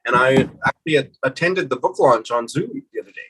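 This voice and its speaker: A middle-aged man in casual book chat, co-hosting and talking in a thoughtful, unhurried way. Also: mid-range voice, noisy background. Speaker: booktuber co-host.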